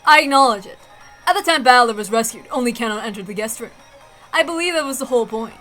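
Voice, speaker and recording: A woman's voice: on the high side, animated, fast talker, sharp tone, soft background music. A woman playing a proud, composed female witch who duels with formal, taunting self-assurance in a dramatic visual novel reading. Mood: commanding.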